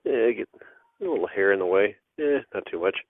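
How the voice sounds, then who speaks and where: A man's voice: fairly low pitch, animated, fairly quick, slight background noise. A man leaving a hesitant, rambling voice message full of fillers. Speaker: listener sending a voice message. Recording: dry, tinny phone line.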